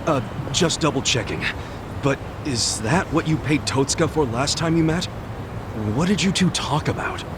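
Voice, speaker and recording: A man's voice: on the high side, moderate pace, noisy background, lightly acted. A man playing an earnest, brash middle-aged yakuza in a video game, talking in a casual, frank and heartfelt way. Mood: confused, questioning.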